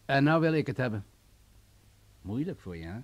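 An actor playing a menacing, wealthy crime boss in a radio play, coolly sadistic, condescending and mocking. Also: male voice, medium pitch, sing-song, moderate pace, close to an everyday voice. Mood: cool, commanding. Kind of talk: vintage Dutch radio play acting.

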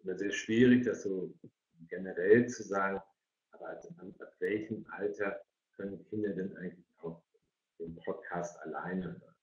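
A man's voice: deep voice, fairly even intonation, normal speed, a little mellow. A middle-aged man moderating a webinar informally, repeating words as he forms his questions. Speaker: moderator of an online parents' evening. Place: indoor room on a video call. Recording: echoey room, dull video-call audio.

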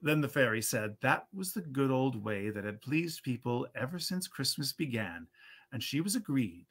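A man in his fifties reads a storybook tale aloud with measured, theatrical phrasing. His voice has a gravelly creak and sits at an ordinary male pitch. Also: big pitch swings, fairly bright tone. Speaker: reader at a literary reading series. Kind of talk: expressive storybook reading of a 19th century holiday tale. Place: indoors at a computer, live stream.

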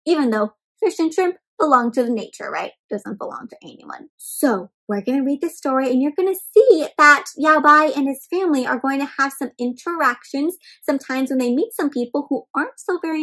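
High-pitched young woman reading to kids. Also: moderate pace, gravelly, hardly any pauses, low-quality recording. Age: young adult. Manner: expressive, questioning, addresses children directly. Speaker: children's storybook read-aloud host. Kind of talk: interactive read-aloud for young children.

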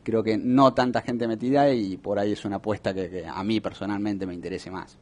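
Man, Argentine accent, young adult, low pitch, measured, agreeable, TV studio, lapel mic. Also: fairly even intonation, a little mellow. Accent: Argentine Spanish. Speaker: economist guest. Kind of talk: interview answers.

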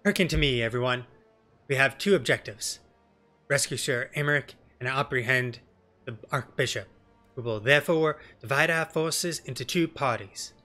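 A man voicing a stern woman knight commander in a fantasy game, speaking formally and with measured authority. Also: medium pitch, bright, forceful tone, soft background music, close to an everyday voice. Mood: resolute, commanding. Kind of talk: game dialogue read in character.

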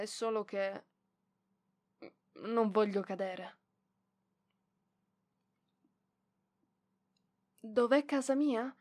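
Donna che interpreta una giovane bibliotecaria orgogliosa, voce acuta. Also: female voice, a little high, close to an everyday voice. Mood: shyly grateful. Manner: hesitant, indignant, halting with sighs. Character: proud, flustered young female librarian, prickly but softening. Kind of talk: ASMR roleplay voice acting, one side of dialogue.

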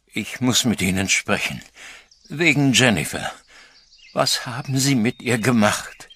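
An actor playing an old male farmer and grandfather, stern and fearful, in an audio drama, talking hushed and then grimly threatening. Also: medium pitch, measured pace, husky. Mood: worried. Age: older. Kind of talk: radio drama acting.

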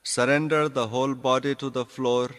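A man giving guided meditation instructions as a yoga nidra teacher, speaking deliberately in short phrases with pauses. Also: mid-range voice, flat delivery, fairly bright tone. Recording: long reverberant hall echo.

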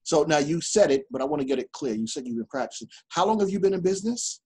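A man's voice: on the high side, animated, quick pace, a little mellow, breathy edge. A man from New York City hosting a business podcast over a video call, upbeat and chatty. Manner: upbeat, laughs often, chatty. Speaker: podcast host. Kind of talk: casual interview. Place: indoor office.

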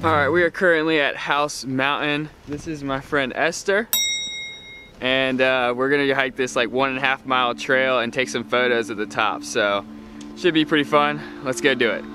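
A young man vlogging casually to a handheld camera as he hikes a wooded mountain trail, relaxed and upbeat. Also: mid-range voice, normal speed, music in the background. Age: young adult. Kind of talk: casual vlog narration while hiking. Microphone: handheld camera at arm's length.

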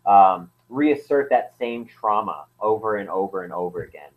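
A man's voice: fairly low pitch, lively intonation, normal speed. A man explaining as an anthropologist guest in a casual interview, hesitant, with many fillers and restarts. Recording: tinny phone-like line.

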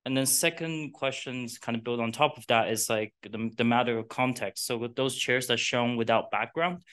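Male audience member, mid-pitched, halting, filler-heavy questioning. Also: fairly even intonation, fairly bright tone. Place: lecture room.

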